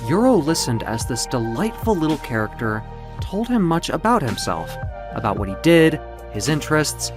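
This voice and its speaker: Man telling a story with animated intonation. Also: on the high side, moderate pace, music in the background. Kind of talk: dramatic scripted storytelling of myth and adventure.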